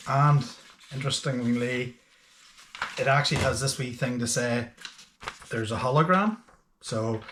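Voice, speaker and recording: Casual or man, noisy recording. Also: medium pitch. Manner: informal, hesitant, thinking aloud. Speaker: host of a boating gadget channel. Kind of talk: casual hands-on product review.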